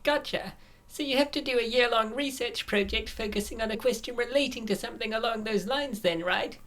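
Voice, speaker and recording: A woman's voice: on the high side, brisk, a bit bright, close to an everyday voice. A woman voicing a confident, scheming young woman, the helpful best friend in a comic dub. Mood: thoughtful. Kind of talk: comic dub character acting.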